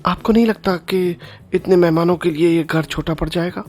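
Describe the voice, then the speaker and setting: Playful young Indian bride played by an actress. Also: female voice, on the low side, steady tone, moderate pace, darkish tone, soft background music, natural voice. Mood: polite. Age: young adult. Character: eager, playful, inquisitive young bride-to-be and wife. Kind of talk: Hindi audio drama acting.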